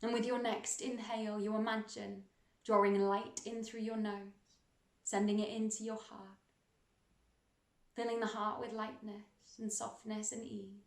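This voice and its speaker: A woman leading a guided meditation in a gentle, soothing, unhurried manner, leaving long pauses between instructions. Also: mid-range voice, flat delivery. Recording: roomy, echoing sound.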